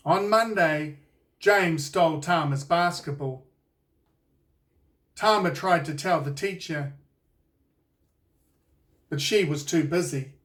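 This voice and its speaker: A man with a New Zealand accent reading a children's storybook aloud in a deliberate, expressive storytelling way. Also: fairly high pitch, recorded from a distance. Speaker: children's author reading his own book. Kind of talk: scripted storybook narration for children.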